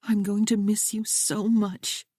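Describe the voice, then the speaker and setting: A woman's voice: mid-range voice, level tone, slow, dark tone, no room sound, studio quality, voice pushed up. A woman voicing an earnest young bride speaking to her husband and her father.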